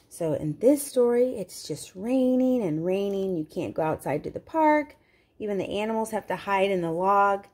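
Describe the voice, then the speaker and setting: A woman reading a picture book aloud to young children, expressively, with simple explanatory asides. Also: mid-range voice. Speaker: children's read-aloud host.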